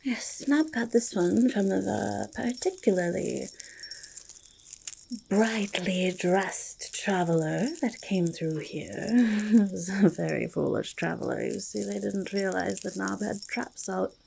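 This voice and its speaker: A woman voicing a curious, friendly forest goblin in a soft ASMR roleplay, speaking haltingly in breathy whispers and creaky murmurs, repeating words. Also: medium pitch, slight background noise. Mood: sly. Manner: halting, third-person speech with doubled words. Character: curious, eager, friendly forest goblin who hoards treasure.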